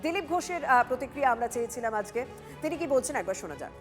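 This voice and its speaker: Fast-talking woman news anchor, West Bengal accent. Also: sits high, lively intonation, soft background music. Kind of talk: live news presenting.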